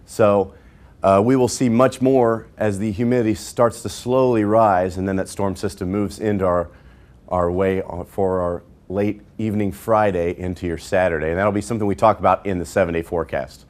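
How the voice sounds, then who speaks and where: A man presenting a TV weather forecast off the cuff, somewhat halting as he reworks his sentences. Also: low voice, fairly even intonation, darkish tone. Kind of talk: unscripted weather presentation, live aircheck.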